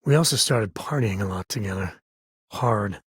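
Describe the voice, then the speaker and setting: A man voicing a rugged, folksy spacefaring cowboy and protective father in a video game, with an American accent, husky rasp and wry, informal manner. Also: on the low side, normal speed, fairly bright tone, no echo, studio quality. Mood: wry, easygoing. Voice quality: husky, rasping. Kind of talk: video game character dialogue.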